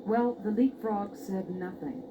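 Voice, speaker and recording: A woman's voice: mid-range voice, steady tone, a little slow. A woman narrating a scripted fairy tale expressively as a storyteller. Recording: dull, noisy old record.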